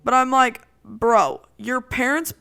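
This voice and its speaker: A young woman co-hosting a podcast, telling a roommate story in casual chat with a loud, projecting voice and lots of "like". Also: a little high, slow pace, gravelly, smooth, soft background music. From Italian roots. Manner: animated storytelling with frequent "like". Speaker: podcast co-host.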